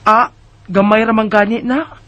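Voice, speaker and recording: A woman's voice: a little high, fairly even intonation, moderate pace, a bit bright, highs cut off, natural voice. A woman with a Bisaya accent playing a brazen, stubborn neighbour in a radio drama, dismissive and argumentative. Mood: brazen, defiant. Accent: Filipino Bisaya.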